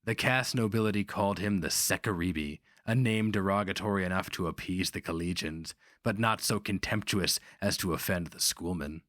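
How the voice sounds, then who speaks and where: A man narrating a fantasy story as a dramatic reading, grave, deliberate and theatrical. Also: sits low, a bit bright, no room sound, clean studio sound.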